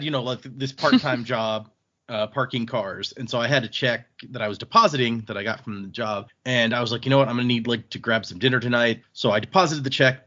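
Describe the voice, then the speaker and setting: A man's voice: mid-range voice, sharp tone, highs cut off. A man hosting a casual book podcast, recapping the story conversationally and loosely voicing characters' lines, with some swearing. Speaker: podcast host recapping a novel.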